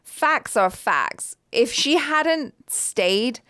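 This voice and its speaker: A woman's voice: fairly high pitch, animated, drawn-out words, bright, forceful tone, smooth, dry recording, studio quality. A woman who grew up in Indonesia, co-hosting a movie reaction chat, talking enthusiastically with many "like"s and "you know"s. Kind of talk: casual chat. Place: Los Angeles home studio.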